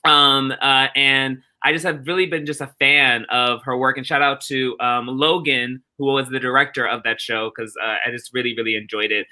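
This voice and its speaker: A man giving reflective interview answers as a theatre director, earnest and deliberate, repeating phrases rhythmically for emphasis. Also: mid-range voice, fairly even intonation, bright, forceful tone.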